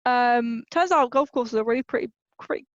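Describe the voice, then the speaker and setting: A young British woman with a high voice chats casually and playfully, poking fun at herself. The sound is dry but a little dull and lo-fi, like a home podcast. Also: moderate pace, no room sound. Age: 20s. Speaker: podcast co-host. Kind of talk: casual chat.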